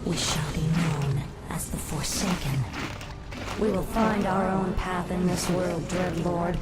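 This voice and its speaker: A woman's voice: fairly low pitch, steady tone, takes it slowly, over loud music. A woman voicing a cold, ruthless undead queen in a video game, imperious and menacing. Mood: cold menace.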